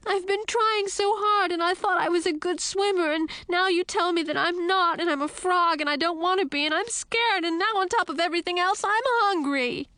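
A woman's voice: sits high, big pitch swings, moderate pace, no room sound, pitched above the performer's own voice. A woman voicing a young princess turned frog in a storybook, fretful, indignant and emphatic. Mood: wailing, tearful. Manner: whiny, emphatic, easily upset. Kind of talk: audiobook character acting.

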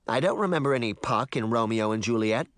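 Man voicing a blunt, stubborn young girl, lively pitch. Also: quick pace, no echo, studio quality, natural voice. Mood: flat, dismissive. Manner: blunt, sharp retorts. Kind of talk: audiobook character voice.

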